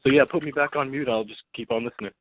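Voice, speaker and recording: A man's voice: mid-range voice, very fast, slight background noise. A man phoning in as a caller, talking casually and insistently, with slang and repeated points, over a telephone line. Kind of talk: casual unscripted monologue. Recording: muffled phone conference line.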